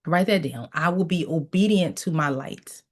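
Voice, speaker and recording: Female mindset coach, lowish voice, preachy repetitive delivery, teaching tone. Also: expressive, moderate pace, darkish tone, slightly rough. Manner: preachy, repeats phrases, tells listeners to write things down. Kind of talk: motivational teaching session.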